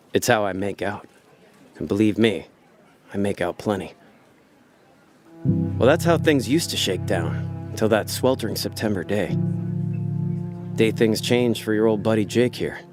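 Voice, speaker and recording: A man's voice: on the low side, a little slow, long pauses, lightly acted. An actor playing a laid-back, cynical con man in a hardboiled noir radio play, with a wry, slangy, world-weary delivery. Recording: radio drama with music underneath. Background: prominent background music.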